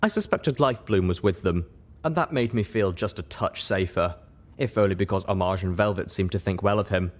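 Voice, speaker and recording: Male audiobook-style narrator, low pitch, scripted story reading. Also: a little fast. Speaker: reader, narrator.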